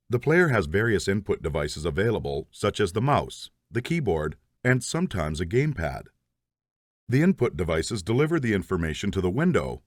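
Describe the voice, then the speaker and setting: Lively low-voiced man teaching code. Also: no room sound, studio quality. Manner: methodical, explains step by step. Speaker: programming instructor. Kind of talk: scripted tutorial narration.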